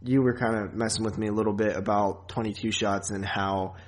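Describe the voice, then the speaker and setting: A man with a fairly low voice hosts a laid-back podcast conversation. He rambles informally from one thought to the next, often with a chuckle in his words. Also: steady tone, a little fast. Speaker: podcast host. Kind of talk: casual chat about horror movies and podcasting.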